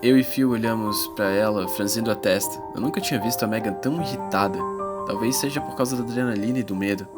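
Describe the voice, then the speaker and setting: A man's voice: fairly low pitch, fast talker, close to an everyday voice. A man playing an earnest young adventurer, narrating his perils in a dramatic, suspenseful first-person audiobook style. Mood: wary, reflective. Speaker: narrator and protagonist. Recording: loud music bed under voice. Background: music.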